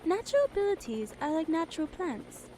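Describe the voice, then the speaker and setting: A woman's voice: extremely high pitch, a little fast, noisy background. An actress playing an earnest, caring young information expert in a radio drama, with a girlish delivery. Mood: indignant, fussy. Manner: girlish, animated.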